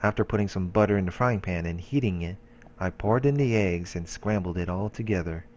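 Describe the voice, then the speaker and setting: A man's voice: sits low, a little fast, a little mellow, dull audio, a little hiss or hum. A man hosting a let's play, reading game narration aloud with occasional casual asides.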